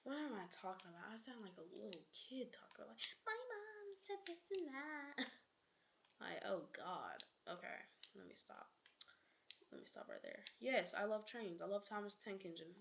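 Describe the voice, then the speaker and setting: A woman's voice: mid-range voice, gravelly, slight background noise. A young woman streaming a game, chatting casually and rambling off on sidetracks, often correcting herself and dropping into whispered asides.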